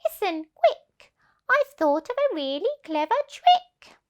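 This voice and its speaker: A woman's voice: very high-pitched, very animated, slow pace, dull audio, voice pushed up, exaggerated voice. A woman voicing a clever little ladybird in a children's story, eager and urgent.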